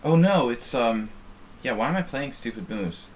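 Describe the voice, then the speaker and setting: A man's voice: mid-range voice, expressive, normal speed, slight background noise. An American man casually chatting while streaming online chess, rambling and self-deprecating as he reads the chat. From United States.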